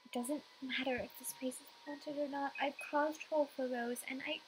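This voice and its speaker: A woman's voice: high voice, fairly even intonation, moderate pace, slight background noise, poor audio. A young woman reading a visual novel aloud as dramatic first-person story narration.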